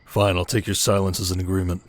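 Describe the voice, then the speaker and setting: A protective desert king from an ASMR fantasy drama, acted by a man. His voice is low, steady and measured. He speaks intimately, as a devoted husband would to his queen. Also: dry recording, natural voice. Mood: concerned, tender. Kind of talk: ASMR audio roleplay drama.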